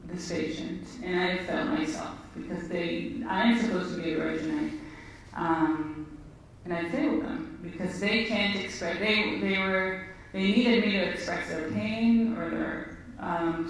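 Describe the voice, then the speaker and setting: A woman speaks earnestly and reflectively, recounting her own experience in conversation. Her voice sits at an ordinary pitch and is picked up from a distance in a very echoey room. A faint hiss of noise lies beneath it. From Israel. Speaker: physician guest. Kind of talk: personal storytelling in conversation.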